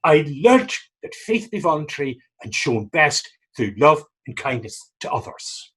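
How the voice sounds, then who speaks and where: A man playing a battered, pious and self-mocking fisherman in readers theater, telling his story in halting, folksy dialect. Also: fairly high pitch, big pitch swings, a bit bright, breathy edge, heavily acted. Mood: earnest. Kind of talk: readers theater dramatic dialogue.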